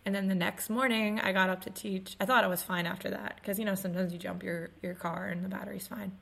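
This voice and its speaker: A woman in her twenties co-hosting a podcast in casual chat, her voice still a little raspy after losing it, telling stories with laughs. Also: medium pitch, fairly even intonation, fast talker, a bit bright. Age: 20s. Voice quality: slightly raspy. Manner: chatty, laughing storyteller. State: recovering from lost voice. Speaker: podcast co-host.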